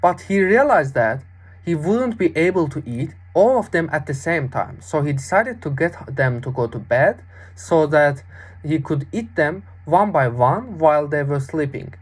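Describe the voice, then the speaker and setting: A young woman with a fairly low voice narrates a children's fairy tale. She reads deliberately and expressively, as a student storyteller. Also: animated, slightly rough. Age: young adult.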